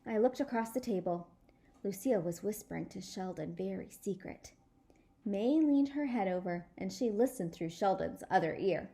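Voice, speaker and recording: A woman reading a children's story aloud in an expressive, animated storyteller manner. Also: mid-range voice, moderate pace. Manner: animated, acts out dialogue. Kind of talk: expressive children's chapter book read-aloud.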